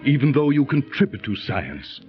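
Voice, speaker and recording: An actor playing a cautious, grave judge and loyal friend, in earnest, theatrical 1930s radio style. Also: male voice, mid-range voice, sing-song, normal speed, natural voice. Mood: grave, sorrowful. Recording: muffled 1930s radio recording, music behind.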